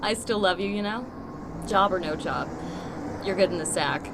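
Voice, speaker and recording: A woman's voice: medium pitch, normal speed, close to an everyday voice. A woman playing a supportive, affectionate young wife in a radio drama, speaking in a soothing, tender way. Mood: tender reassurance. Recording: clearly audible background noise.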